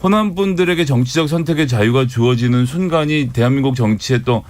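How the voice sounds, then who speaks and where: A man's voice: mid-range voice, a little fast, darkish tone. A party spokesman in a radio studio debate, a man making a pointed, critical argument.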